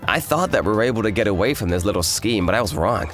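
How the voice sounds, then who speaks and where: A man playing an earnest, easily flustered young office worker who narrates his romance in a comic manga dub, with an excitable delivery. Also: lowish voice, quick pace, over music, lightly acted. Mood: concerned. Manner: excitable, expressive.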